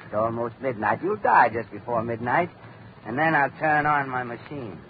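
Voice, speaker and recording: An actor playing a sadistic mad scientist with a mocking, theatrical relish in an old-time radio drama. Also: male voice, medium pitch, lively intonation, moderate pace, natural voice. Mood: gloating, cruel. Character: gloating, sadistic mad scientist poisoning his cousin. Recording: tinny, noisy old radio recording.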